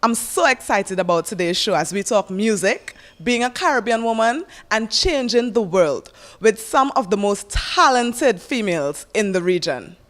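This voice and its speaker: A woman's voice: mid-range voice, animated, moderate pace, a bit bright. A woman presenting and interviewing guests as host of a music talk show.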